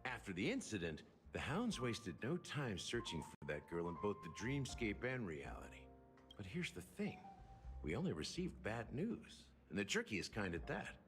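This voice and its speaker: An actor playing an aging, wry bartender detective in a video game, speaking theatrically like an unhurried storyteller. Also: male voice, lowish voice, wildly swooping pitch. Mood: grave, wry. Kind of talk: scripted video game dialogue. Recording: game music behind the voice.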